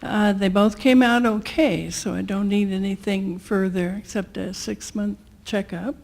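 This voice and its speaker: An older woman in a church congregation sharing news, halting and slow with small laughs. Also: mid-range voice, steady tone, creaky, darkish tone. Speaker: congregation member.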